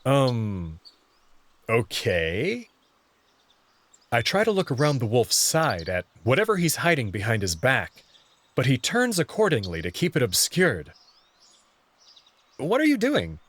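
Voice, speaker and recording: A man's voice: medium pitch, huge pitch swings, unhurried, long pauses, a bit bright, no room sound, exaggerated voice. A man playing a bewildered young human who narrates his own story in a visual novel and speaks hesitantly. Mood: stunned, hesitant. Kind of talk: first-person visual novel narration and dialogue.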